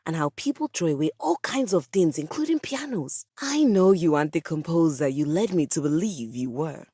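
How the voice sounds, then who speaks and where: A woman's voice: lowish voice, dark tone, breathy edge, no room sound, studio quality. A woman voicing a personified piano in a video game, a pompous and socially awkward composer who speaks in formal, melodramatic diction. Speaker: video game character voice actor. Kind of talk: theatrical video game character dialogue.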